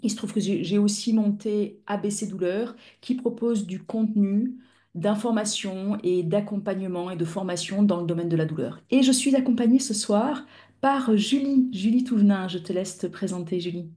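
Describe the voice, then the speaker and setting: A middle-aged woman speaks into a video-call mic as she hosts a live webinar for fellow clinicians. Her tone is mellow and relaxed. She explains things in a measured, patient way and pauses often to search for words. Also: medium pitch. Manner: measured, explanatory, with frequent hesitations. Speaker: physiotherapist and pain-education instructor, webinar host.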